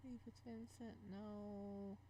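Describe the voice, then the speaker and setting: A woman reading a visual novel aloud and commenting casually as she plays, expressive and reacting out loud, sometimes stumbling over the text. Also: mid-range voice, takes it slowly, a little hiss or hum. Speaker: game streamer, let's player.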